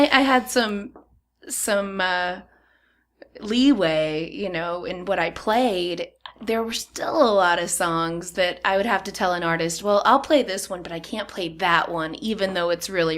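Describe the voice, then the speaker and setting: A woman guest on a podcast, talking in relaxed casual chat about her radio work, laughing easily. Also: fairly low pitch.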